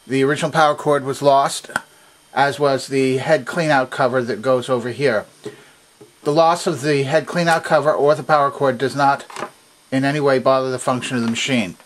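Guy walking thru how a machine works step by step, mid-pitched voice, methodical and precise. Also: steady tone, normal speed, a little hiss or hum, poor audio. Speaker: demonstrator of a vintage machine. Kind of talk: instructional demonstration, explanatory. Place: indoor workshop at a workbench.

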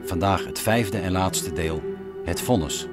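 Vrij lage mannelijke hoorspelverteller. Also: male voice, low voice, a little slow, over loud music. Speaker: narrator. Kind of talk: scripted radio drama narration.

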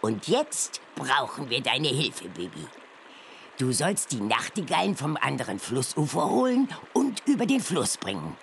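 An actress playing a kindly old witch aunt in a children's game, creaky and instructive, in a drawn-out theatrical manner. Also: a bass voice, big pitch swings, a bit bright, slight background noise, heavily acted. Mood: instructive, caring. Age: older. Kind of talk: children's game character dialogue.

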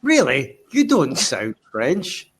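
A man with a mid-range voice and a very slow pace. He chats casually, halting and repeating words as he asks questions. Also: mid-range voice, wildly swooping pitch, fairly bright tone, breathy edge. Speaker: conversation partner. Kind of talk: casual chat.

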